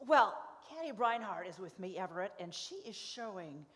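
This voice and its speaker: A woman's voice: mid-range voice, expressive, normal speed, fairly bright tone. A woman playing a chirpy, easily muddled festival reporter in a live radio comedy. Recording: reverberant hall stage recording.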